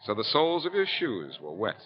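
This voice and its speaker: Quick, lively radio detective hero. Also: male voice, on the low side. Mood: accusing, assured. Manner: brisk, assured, teasing. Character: confident, wisecracking amateur detective hero. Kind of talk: 1940s radio detective acting. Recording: noisy muffled old radio recording.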